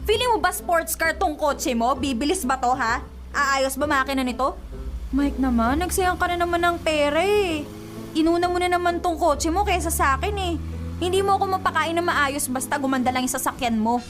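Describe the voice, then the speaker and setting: A high, sharp-tongued Filipino girlfriend in a radio drama. She is hot-tempered and sarcastic, nagging with heavy emphasis over a music bed. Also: moderate pace. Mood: angry, sarcastic.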